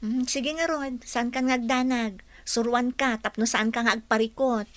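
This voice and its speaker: Woman as radio-play student, young, playful and helpful, very high and bright. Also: steady tone, moderate pace, dry recording, close to an everyday voice. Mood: teasing, helpful. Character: young female college student, helpful and teasing. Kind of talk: audio drama acting.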